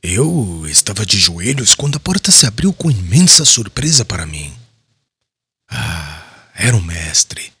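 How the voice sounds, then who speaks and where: Man playing a husky, solemn apostle. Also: fairly low pitch, big pitch swings, a little slow, pushed and bright, no echo, heavily acted. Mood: solemn, reverent. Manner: solemn, earnest. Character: Simon Peter, devout, compassionate male apostle leading a church. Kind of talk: audiobook dramatized dialogue.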